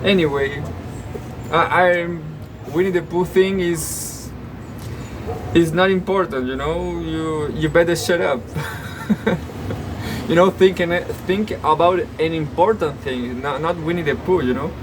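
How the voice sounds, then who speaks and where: Halting man reading news with sarcastic asides. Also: on the high side, noisy background. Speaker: news commentator. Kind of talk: reading news with off-the-cuff commentary.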